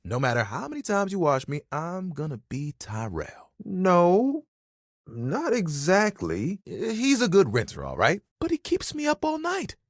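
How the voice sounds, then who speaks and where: Casting: eager, self-conscious towel character for a dating game. Very animated pitch swings, slightly husky, casual rambling delivery. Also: male voice, a little slow, no echo, studio quality, heavily acted. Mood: earnest, awkward, then dramatic, fretful. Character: talking bath towel, bashful, eager, laid-back young guy. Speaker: video game voice actor.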